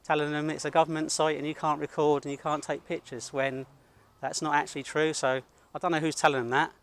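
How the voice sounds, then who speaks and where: A British man with a voice a little higher than most talks in a blunt, self-assured way. He sounds like an independent videographer speaking casually outdoors, narrating to his camera and chatting. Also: level tone, a little fast. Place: outdoors at a college car park.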